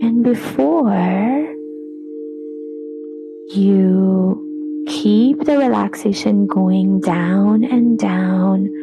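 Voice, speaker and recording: Female yoga nidra guide; soothing and unhurried, with noticeable vocal fry, over clear background music. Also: medium pitch, muffled recording. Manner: soothing, unhurried, breathy trailing phrase endings. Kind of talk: guided relaxation meditation.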